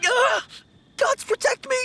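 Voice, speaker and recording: A man's voice: very high voice, steady tone, slow pace, gravelly, full character voice. A man playing a timid young apprentice undertaker in a video game, stammering, pleading and easily panicked. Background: music.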